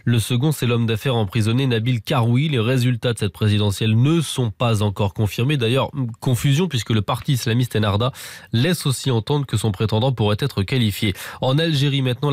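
Brisk male radio news anchor, dry sound. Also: medium pitch, darkish tone, no echo. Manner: brisk, with frequent fillers and restarts. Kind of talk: radio newscast, morning bulletin. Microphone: studio microphone.

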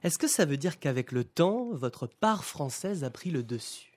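A man's voice: a little high, lively intonation, unhurried, a little mellow. A man hosting a radio interview, asking polite, formal questions. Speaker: radio host.

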